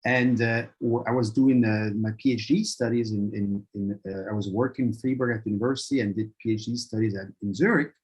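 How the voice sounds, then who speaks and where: A man's voice: fairly low pitch, steady tone, a little fast, hardly any pauses, darkish tone, husky. A man from Switzerland, a professor and guest speaker, presenting a webinar lecture in a friendly, conversational way. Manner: friendly, conversational, uses "right?".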